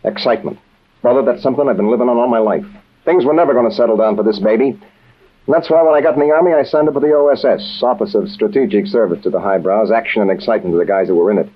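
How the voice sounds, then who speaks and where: An actor playing a brash, wisecracking American special agent in an old-time radio adventure, with slangy, cocky delivery on a muffled vintage recording. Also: male voice, mid-range voice, quick pace, lightly acted. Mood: brash, restless.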